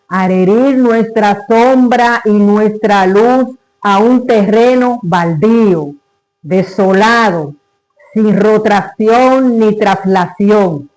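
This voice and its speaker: A man reciting a literary monologue in a solemn, declamatory, deliberate manner. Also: sits high, a little mellow, rough recording.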